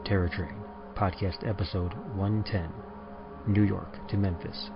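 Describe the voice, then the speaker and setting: Deep-voiced man announcing over music. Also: fairly even intonation, measured pace, gravelly. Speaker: podcast narrator and announcer. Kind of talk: scripted credits announcement. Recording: dull, muffled audio.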